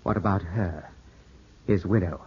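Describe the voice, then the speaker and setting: A man's voice: low-pitched, unhurried, slightly rough, exaggerated voice. An actor playing a grieving, suspicious young Englishman in his twenties, clipped and intense, in 1950s radio drama style. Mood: troubled, insistent. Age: 20s. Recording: muffled, scratchy 1950s radio broadcast.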